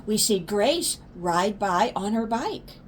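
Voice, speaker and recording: A woman's voice: mid-range voice, expressive, slow pace, slight background noise. An older woman, a grandmother, reading a children's story aloud expressively and explaining words to young listeners.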